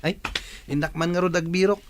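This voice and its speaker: A cheeky Ilocano son with a fairly high male voice, acted by a man. He has a teasing manner, in the style of a village radio drama. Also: steady tone, fairly quick, slight background noise, close to an everyday voice.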